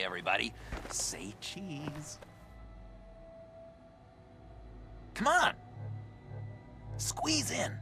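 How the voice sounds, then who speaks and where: A needy, jittery young villain for a video game. He drags his words slowly and leaps wildly in pitch, halting and stammering theatrically. Music plays clearly behind him. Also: bright, forceful tone, exaggerated voice. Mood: giddy excitement. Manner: theatrical, halting, stammering, swinging from glee to confiding.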